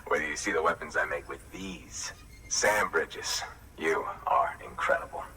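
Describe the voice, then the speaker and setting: An actor playing a gruff, wary middle-aged survivalist weapon maker in a video game, with a gravelly voice and a folksy, blunt drawl. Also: male voice, a bass voice, unhurried, sharp tone, heavily acted. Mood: amazed, grateful. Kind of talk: video game cutscene acting. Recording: slightly distant mic, faint background noise.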